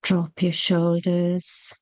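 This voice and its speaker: Very slow, mellow woman guiding meditation. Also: fairly low pitch, completely flat delivery, no echo, poor audio. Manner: soothing, gentle, unhurried with long pauses. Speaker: meditation guide. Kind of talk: guided meditation.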